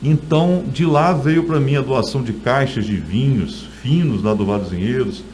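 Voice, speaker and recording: A man's voice: medium pitch, moderate pace, darkish tone. Middle-aged Brazilian man, noisy recording. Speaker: author being interviewed. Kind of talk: conversational interview storytelling.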